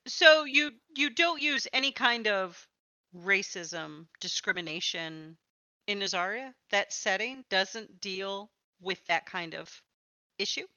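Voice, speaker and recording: A woman hosts a conversational interview in a bright, smooth voice. Her speech is clear and forward. The recording is very dry, with little room sound. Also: medium pitch, animated, slow, dry recording, muffled recording. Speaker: podcast host interviewing.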